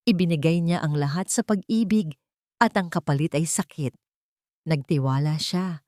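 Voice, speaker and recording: A woman's voice: on the low side, mellow, dry recording, clean studio sound. A woman narrating a scripted romantic drama story in a storytelling style.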